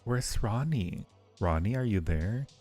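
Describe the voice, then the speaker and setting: A man's voice: lowish voice, expressive, unhurried, warm tone, soft background music. A man hosting a casual livestream, chatting playfully and affectionately with viewers in a rambling way, often repeating phrases. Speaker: livestream host.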